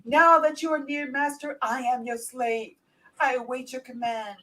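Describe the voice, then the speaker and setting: A woman's voice: high voice, fairly even intonation, moderate pace, fairly bright tone. A woman playing a fawning, fervent asylum lunatic devoted to a master, theatrically, in a horror script read. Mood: worshipful.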